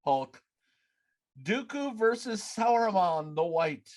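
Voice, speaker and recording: High-pitched male podcast host. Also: lively intonation, slow, darkish tone. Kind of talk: casual chat, quick-fire questions. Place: home room, on a video call. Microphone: video call.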